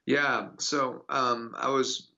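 A man's voice: mid-range voice, flat delivery, slow, vocal fry, bright, forceful tone, dull audio. A man from Houston, a pastor, earnestly telling his story in a radio interview. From Houston, Texas.

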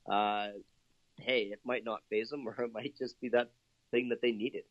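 A man's voice: mid-range voice, steady tone, normal speed, no echo. Upbeat Canadian man on a dull line. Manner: upbeat, chatty, laughs often, many fillers. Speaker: interview guest. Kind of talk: casual interview talk.

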